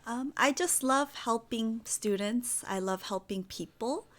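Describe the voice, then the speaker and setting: Slow, gentle young woman from the United States. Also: a little high. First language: Korean. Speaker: guest English teacher. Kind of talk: friendly interview answers.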